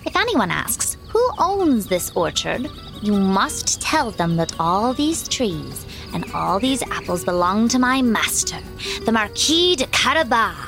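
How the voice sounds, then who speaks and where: A woman's voice: mid-range voice, sing-song, a little slow, creaky, music in the background. A woman voicing a bold, wily male storybook cat with a theatrical, commanding and sly manner. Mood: commanding, threatening. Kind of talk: children's storybook character voice.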